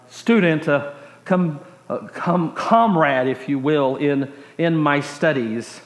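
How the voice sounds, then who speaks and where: An American man from Texas preaching through personal stories in a reflective, halting way with dry humorous asides. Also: on the high side. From Texas, USA. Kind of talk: sermon storytelling. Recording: echoey room.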